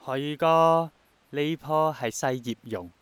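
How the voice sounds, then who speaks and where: An actor playing an idealistic, gentle young man in a radio drama, reflective and earnest, with a Hong Kong accent. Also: a little high, slow, smooth, no echo. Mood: enthusiastic. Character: idealistic, persistent young man longing for rain to return.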